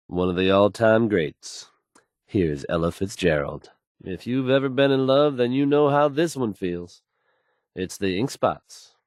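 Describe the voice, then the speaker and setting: An actor playing a confident radio DJ in a game, announcing with polished, assured delivery. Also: male voice, low voice, darkish tone, no room sound, clean studio sound. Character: confident, smooth male radio DJ and newsreader. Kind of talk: radio song introductions and news reading.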